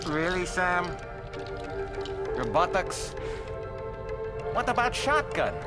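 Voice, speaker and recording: A man's voice: high voice, sing-song, takes it slowly, heavily acted. Theatrical, wordy male doll companion. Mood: playful, teasing. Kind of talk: video game cutscene acting. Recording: loud game music over speech.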